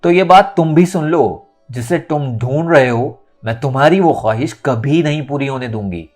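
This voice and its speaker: A man's voice: mid-range voice, moderate pace, darkish tone, natural voice. A man voicing an angry, defiant young woman in a dramatic audiobook, with emphatic, indignant delivery. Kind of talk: dramatic audio novel reading. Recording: music under the voice.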